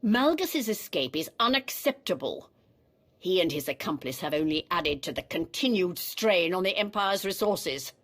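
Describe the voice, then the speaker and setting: A woman's voice: lowish voice, big pitch swings, normal speed. A woman playing a haughty, commanding Sith Empress in a scripted video game, speaking formally. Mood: stern reproach. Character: imperious Sith Empress demanding answers.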